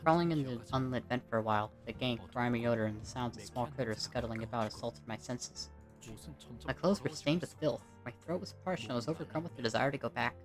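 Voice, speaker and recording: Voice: mid-range voice, fast talker. Amateur story narrator over music. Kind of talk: scripted first-person story narration. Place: indoors at home.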